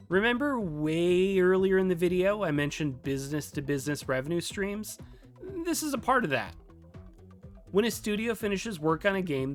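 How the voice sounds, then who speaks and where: A man presenting an informal scripted tutorial in a conversational, emphatic way. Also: on the high side. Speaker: video host, game developer and former freelance artist.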